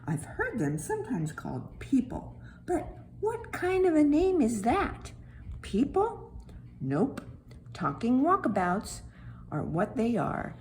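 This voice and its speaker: A small talking stone played by a woman narrates a children's storybook in a slow, wide-eyed way. The delivery is dramatic and tender, leaning on hushed words and repeated emphasis, with noticeable noise behind the voice. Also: on the high side, wildly swooping pitch, full character voice. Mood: wondering.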